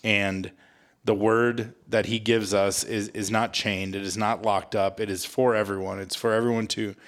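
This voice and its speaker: Low-voiced man, casual podcast co-host. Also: little pitch movement, normal speed. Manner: jokey, self-mocking, informal phrasing. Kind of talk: casual scripture recap chat.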